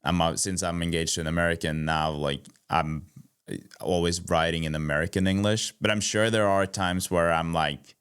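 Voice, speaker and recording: Deep-voiced man from Norway hosting a podcast. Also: fairly even intonation, moderate pace, a bit bright, clear, resonant tone. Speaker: podcast host. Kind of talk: casual interview chat.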